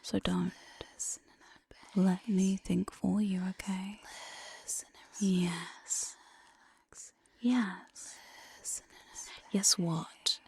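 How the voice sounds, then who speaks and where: A woman playing a teasing, domineering demon jailer in whispered ASMR roleplay, close on a binaural mic, slow, coaxing and mock-sweet. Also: mid-range voice, fairly even intonation, a little mellow, a little hiss or hum. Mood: coaxing, commanding. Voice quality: breathy whisper. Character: teasing, domineering demon mistress of a dungeon jail. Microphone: close binaural studio microphone.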